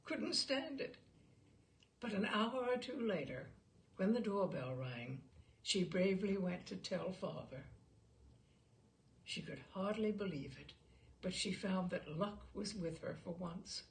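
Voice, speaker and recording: Animated woman storyteller with a creaky edge. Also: medium pitch, a little slow, long pauses. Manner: animated, theatrical emphasis on key words. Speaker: storyteller and host reading short stories. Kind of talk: expressive literary story reading.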